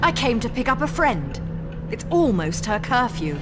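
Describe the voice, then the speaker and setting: Game witch heroine: British, fearless, sardonic, highish expressive voice, loud music underneath. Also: moderate pace. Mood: cool mockery. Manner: sardonic, taunting, theatrical. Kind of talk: video game cutscene acting.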